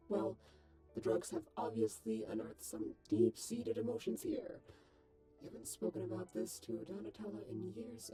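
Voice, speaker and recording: A woman's voice: fairly low pitch, dark tone, rough recording. A woman voicing a male artificial intelligence modelled on a scientist, dry and detached, with formal, precise, wordy phrasing in a scripted comic dub. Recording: music behind the voice.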